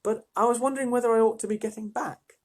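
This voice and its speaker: A man's voice: very high-pitched, fairly even intonation, quick pace, a little mellow, voice pushed up. A man voicing a polite, puzzled young girl who speaks simply and hesitantly in a storybook reading.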